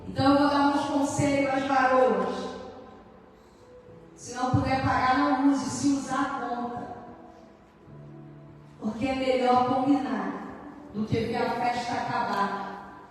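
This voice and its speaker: A woman's voice: high-pitched, steady tone, a little slow, pauses often, faint music behind. A woman pastor from Rio de Janeiro with a Carioca Brazilian accent, preaching emphatically to couples with rhetorical questions and direct exhortation. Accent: Rio de Janeiro Brazilian Portuguese. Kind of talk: sermon to married couples. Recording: very echoey hall, distant microphone.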